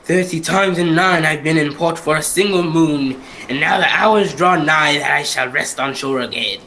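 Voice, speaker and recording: A man's voice: a little high, moderate pace, vocal fry, pushed and bright, a little hiss or hum, low-quality recording. A man playing a bitter, cursed ghost ship captain in declamatory old-time radio melodrama. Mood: anguished. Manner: declamatory, anguished, vengeful.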